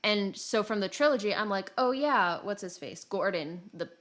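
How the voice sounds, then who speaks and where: Woman podcast host just chatting about games, kinda giggly and self-deprecating, normal mid pitch. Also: expressive, normal speed, fairly bright tone. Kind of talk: casual gaming podcast chat.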